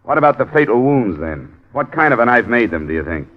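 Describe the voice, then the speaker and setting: An actor playing a tough, persistent American insurance investigator, clipped and matter-of-fact, in an old radio detective drama. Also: male voice, mid-range voice, big pitch swings, a little fast. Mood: firm, challenging. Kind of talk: old-time radio detective acting and narration. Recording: dull vintage radio recording, background music.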